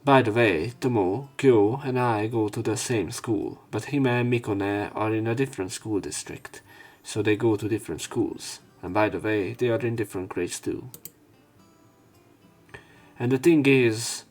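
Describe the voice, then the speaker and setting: A man's voice: lowish voice, measured pace, a little mellow. A man narrating a visual novel let's play, reading the story text aloud with commentary.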